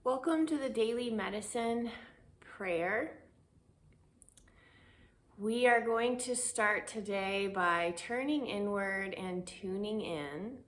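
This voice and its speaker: A woman leading a spiritual guided meditation, speaking in a soothing, deliberate way with pauses between phrases. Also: mid-range voice, lively intonation. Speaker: guided meditation and prayer host.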